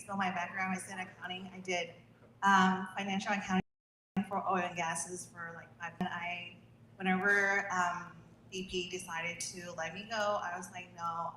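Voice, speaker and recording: A woman's voice: medium pitch, a bit bright. A woman real estate agent introducing herself at a meeting in a chatty, upbeat way. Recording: echoey room, faint noise.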